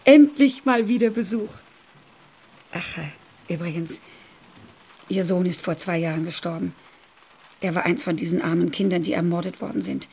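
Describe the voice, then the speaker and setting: An actress playing a kind, gossipy caretaker who chatters and fusses in a radio play. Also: female voice, lowish voice, normal speed, gravelly, long pauses, darkish tone, a little hiss or hum. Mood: chatty. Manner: chatty, fussing, cheerfully talkative.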